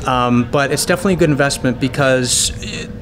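A man's voice: mid-range voice, a little fast, crowd noise. A man in his thirties giving casual, conversational training to camera in an informal, rambling way. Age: 30s. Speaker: paint party business owner giving training. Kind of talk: casual instructional talk to camera. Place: small restaurant dining room, indoors.

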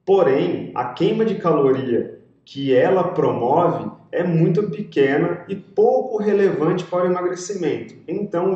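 Young man, sports nutritionist, steady explanatory talk, distant camera mic, strong room echo. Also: a little high, muffled recording. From Brazil. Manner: explanatory, steady, with frequent "tá?" and "né?" checks. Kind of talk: educational video talk to camera. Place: small indoor room with pink lighting.

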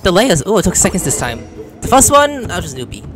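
A man's voice: sits high, big pitch swings, quick pace, fairly bright tone. A man giving casual, slangy reaction commentary as he plays a game, exclaiming and muttering to himself. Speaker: streamer playing and commenting on a game. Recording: game music behind the voice. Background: video game music and dialogue.

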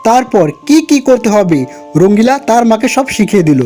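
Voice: mid-range voice, animated, brisk, mellow. A narrator reading a scripted children's folk tale over music. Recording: guitar music behind the voice.

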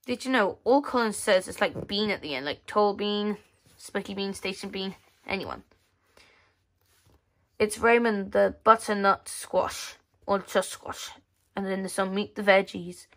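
Woman casually reading aloud, halting delivery. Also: medium pitch, fairly bright tone. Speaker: magazine reviewer. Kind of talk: casual read-aloud walkthrough of a children's magazine. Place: indoors at a tabletop.

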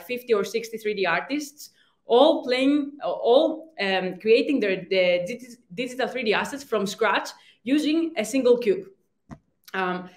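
A young woman from Greece, a startup founder giving a conference talk, hesitant, with many ums and restarts. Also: mid-range voice. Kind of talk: conference presentation. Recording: roomy reverb.

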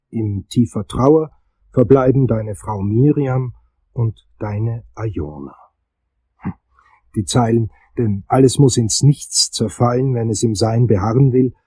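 A male private investigator in a crime radio play, unimpressed and matter-of-fact. His voice is dark and rough-edged, recorded dry and close. He delivers his lines with understatement. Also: medium pitch, dry recording, low-quality recording. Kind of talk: radio drama acting and scripted narration.

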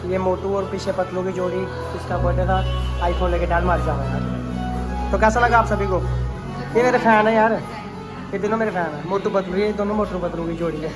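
A man's voice: high voice, a little fast. A young man chatting casually to viewers while filming a vlog on his phone, talking to them as guys and friends. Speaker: vlogger. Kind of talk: casual vlog narration to camera. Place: outdoors in town and in a busy restaurant. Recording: noisy phone vlog with music and crowd. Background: music and crowd chatter.

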